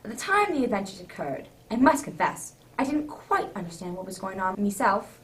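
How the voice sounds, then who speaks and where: A woman playing a genial, easily flustered older English gentleman narrator in a radio drama, with avuncular, old-fashioned exclamations. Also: mid-range voice, sing-song, brisk. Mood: storytelling. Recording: distant microphone.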